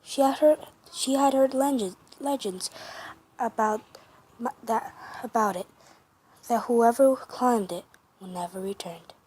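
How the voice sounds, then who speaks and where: A woman narrating a fan story for her first amateur comic dub, halting with many restarts and self-corrections. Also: fairly high pitch, dark tone, husky, rough recording. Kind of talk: reading a fan story aloud.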